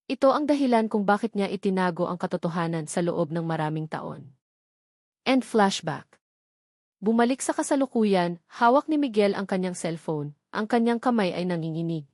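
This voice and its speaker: A woman narrates a scripted audio drama. Her voice sits at a medium pitch. The sound is very dry, with no room on it. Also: a little mellow, dry recording.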